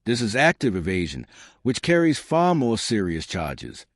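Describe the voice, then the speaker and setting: A man's voice: medium pitch, dry recording, clean studio sound. A man narrating police footage from a script in a grave, emphatic, dramatic true-crime style.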